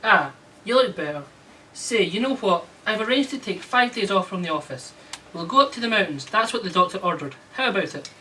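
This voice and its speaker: A man acts an attentive, worried husband in a radio play. His bright voice coaxes hesitantly, recorded some way from the mic over faint noise. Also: sits high, lively intonation, brisk, far from the mic, poor audio. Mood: concerned. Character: worried, attentive husband Freddy, gently coaxing. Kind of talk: radio drama acting.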